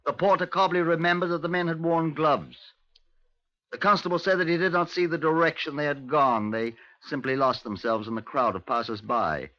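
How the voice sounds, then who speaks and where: An actor playing a brisk, methodical British police inspector in a 1950s radio drama, with a clipped, formal, measured delivery. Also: male voice, fairly high pitch, bright, forceful tone, dry recording. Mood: matter-of-fact. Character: brisk, methodical male Scotland Yard inspector. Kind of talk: 1950s radio drama acting, narration and dialogue. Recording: dull, lo-fi 1950s radio recording.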